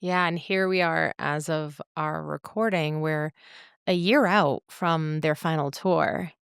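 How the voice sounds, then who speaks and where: A woman with a slightly low, clear voice chats as a podcast co-host. She picks her words deliberately, and the recording is dry and close. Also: fairly even intonation, dry recording. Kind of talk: casual conversational podcast talk.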